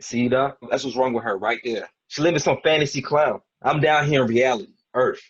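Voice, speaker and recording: A man's voice: medium pitch, a little fast, slightly rough, highs cut off, close to an everyday voice. A man playing a defensive, frustrated young husband in a dramatic table read, arguing in a colloquial way. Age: young adult. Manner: argumentative, colloquial.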